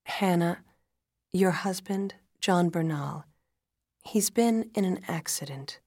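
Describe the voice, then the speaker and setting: Woman playing a calm, grave, husky female doctor. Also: lowish voice, slow, no echo, clean studio sound. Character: calm female hospital doctor breaking bad news. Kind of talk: dramatic audiobook-style storytelling.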